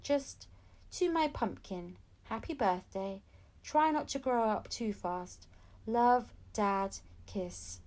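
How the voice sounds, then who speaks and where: A woman reading a children's story aloud as an audiobook narrator. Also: fairly high pitch. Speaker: audiobook reader.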